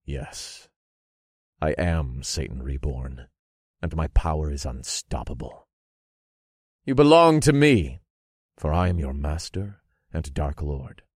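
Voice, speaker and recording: A man voicing a triumphant dark lord in an audiobook, speaking in grandiose, commanding declarations. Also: extremely low pitch, slow pace, husky, no echo, clean studio sound, pitched below the performer's own voice, full character voice.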